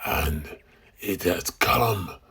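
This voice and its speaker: A man voicing a sinister undead swamp guide with a husky, gravelly voice and a slow, theatrical, portentous delivery in a fantasy tale. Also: low-pitched, sharp tone, full character voice. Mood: ominous dread.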